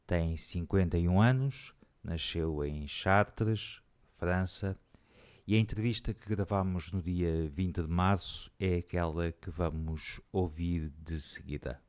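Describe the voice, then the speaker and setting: Man, very deep voice, interview host, formal measured delivery. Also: darkish tone. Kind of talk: scripted introduction then interview questions.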